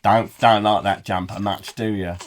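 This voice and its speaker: A man hosting a comic live chat show, playful and silly, reading out viewer comments in a croaky voice. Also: on the low side, steady tone, moderate pace, a little hiss or hum. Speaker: host.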